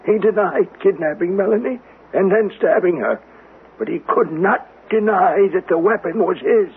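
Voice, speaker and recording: Proud elderly uncle, high rasping voice, emphatic old-fashioned diction, wryly frank, radio mystery drama. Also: male voice, normal speed, exaggerated voice. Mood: haunted, insistent. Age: older. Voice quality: raspy. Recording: thin, lo-fi old radio broadcast.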